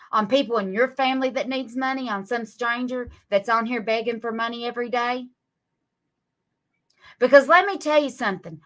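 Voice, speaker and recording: A woman in her forties with a drawl, lecturing critics on a live stream. Her bright, forceful voice stays sarcastic and scolding. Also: fairly high pitch. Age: 40s. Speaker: livestream guest.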